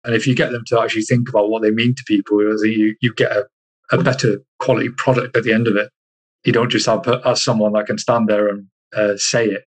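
A man from Iceland chatting casually as an interview guest, halting and repeating himself as he searches for words. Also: lowish voice, little pitch movement, slightly rough, poor audio. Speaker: interview guest, army soldier.

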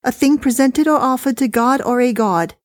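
A woman voicing a fussy, chatty older wife talking on the phone, high-pitched and bossy. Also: moderate pace, no echo, studio quality, close to an everyday voice. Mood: chirpy, bossy.

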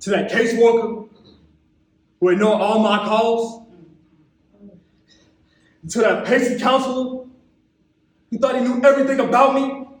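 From far off in a very echoey hall comes an actor's portrayal of a bitter, wounded young American man. His voice is high for a man. He delivers a theatrical monologue with colloquial phrasing, heavy dramatic pauses and impassioned hurt. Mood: indignant. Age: young adult. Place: theatre stage at a festival.

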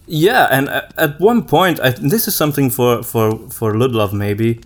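A man hosting a casual podcast conversation, thinking aloud with pauses as he talks. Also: mid-range voice. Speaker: podcast host.

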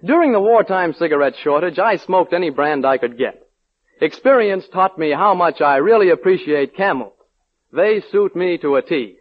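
A dull vintage radio recording of a man with a fairly high voice. He reads a scripted endorsement in the style of a 1940s commercial. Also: animated, normal speed. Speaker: advertising testimonial speaker. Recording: muffled old radio recording.

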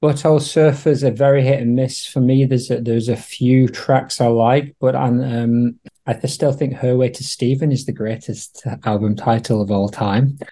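A man's voice: medium pitch, steady tone, moderate pace, few pauses, mellow. A man in a casual music discussion, talking as an enthusiastic, opinionated podcast panellist.

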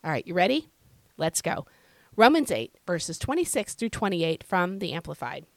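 Hoarse, earnest woman teaching. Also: on the low side, big pitch swings, moderate pace, no room sound. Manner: earnest, conversational, with fillers and repeated phrases. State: hoarse, scratchy voice from illness. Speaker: podcast host. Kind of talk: devotional podcast teaching.

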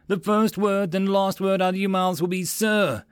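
A man's voice: high-pitched, steady tone, moderate pace, clean tone, no echo, clean studio sound, pitched above the performer's own voice, lightly acted. A man voicing a bullying, sarcastic military drill instructor in an audiobook, barking and sneering theatrical insults. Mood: menacing.

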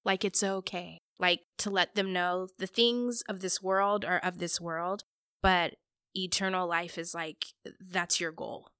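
A woman's voice: fairly low pitch, steady tone, measured pace, pushed and bright, clear, resonant tone, no echo, studio quality. A middle-aged woman speaking candidly and chattily, answering questions in a casual interview. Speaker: guest, parent.

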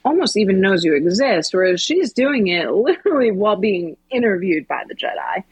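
A woman's voice: medium pitch, big pitch swings, a little fast, breathy edge. A woman co-hosting a podcast in casual, conversational discussion. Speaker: podcast co-host.

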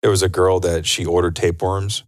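Man, podcast host, lowish voice, jokey banter, goofy sound effects. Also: flat delivery, brisk, dry recording, clean studio sound.

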